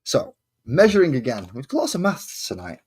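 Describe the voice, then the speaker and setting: A man's voice: medium pitch, huge pitch swings, moderate pace, a little mellow, breathy edge. An English man chatting casually and self-deprecatingly while building a hobby model on his stream. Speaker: hobby streamer painting miniatures. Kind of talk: casual chat while crafting.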